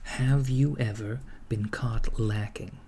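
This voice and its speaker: A man playing a calm, deadpan recruiter of henchmen in an ASMR roleplay, speaking softly and hesitantly, often near a whisper. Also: mid-range voice, slow pace, noisy background. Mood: polite, businesslike. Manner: hesitant, deadpan, reassuring office politeness. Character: calm, matter-of-fact villain's henchman recruiter, male, mildly awkward. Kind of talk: ASMR roleplay, soft-spoken job interview. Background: computer keyboard typing.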